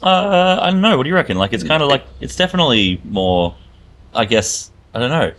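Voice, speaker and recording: A man's voice: medium pitch, big pitch swings, fairly quick, a bit bright, a little hiss or hum. A man with an Australian accent, a guest musician chatting hesitantly and laughing often. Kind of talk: casual interview chat.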